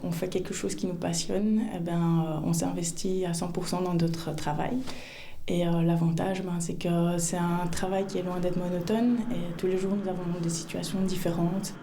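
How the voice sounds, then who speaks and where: A woman giving an interview testimonial, speaking hesitantly with frequent filled pauses. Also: fairly low pitch, little pitch movement, mellow, slight background noise. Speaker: air traffic controller.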